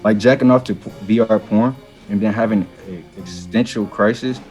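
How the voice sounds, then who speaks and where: Young man acting crude deadpan joker friend, dark lowish voice, romcom audio play. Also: normal speed, soft background music, lightly acted. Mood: mocking. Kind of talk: amateur romantic comedy audio drama.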